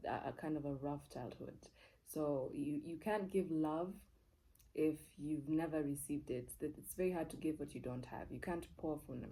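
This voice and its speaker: Low, mellow-voiced young Kenyan woman storyteller. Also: slight background noise, rough recording. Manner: expressive, repeats words for emphasis, switches between English and Swahili. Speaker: TV presenter. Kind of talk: personal storytelling, casual and candid.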